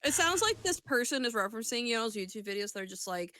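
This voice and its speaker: Bright-voiced woman bantering on a podcast. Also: fairly high pitch, big pitch swings, fast, a little hiss or hum. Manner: chatty, slangy, swears casually. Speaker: podcast co-host and aspiring voice actor.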